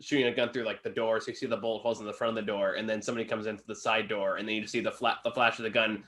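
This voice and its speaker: A man's voice: fairly low pitch, flat delivery, racing, a bit bright. A tired-sounding man giving a casual, unscripted film review in informal, sweary language. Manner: profane, informal.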